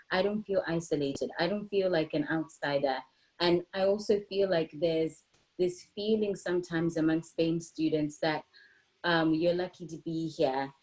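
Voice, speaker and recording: A woman from Zimbabwe speaking as a panelist in a discussion, making an earnest, measured argument. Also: fairly low pitch, darkish tone, rough recording.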